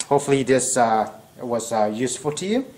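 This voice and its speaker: Young guy teaching math at a whiteboard, kinda hoarse, rambles and corrects himself, roomy echo, faint hiss. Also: mid-range voice, lively intonation, brisk, darkish tone. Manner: rambling, self-correcting, thinking aloud. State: strained, losing his voice. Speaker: math tutor. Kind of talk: casual whiteboard lesson. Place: classroom at a whiteboard. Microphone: camera-mounted mic at a distance. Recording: echoey room, distant camera mic, faint noise.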